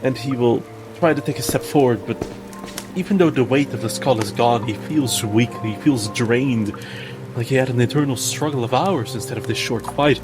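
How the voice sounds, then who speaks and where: A man playing a tabletop game, narrating his character in the third person in a halting, dramatic way. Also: medium pitch. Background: music and rain sounds.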